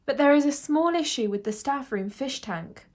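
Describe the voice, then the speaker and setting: A woman's voice: sits high, expressive, normal speed, a little mellow, pitched above the performer's own voice. Woman playing a worried, apologetic school receptionist. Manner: hesitant, polite, discreet. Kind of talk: children's audiobook character voice.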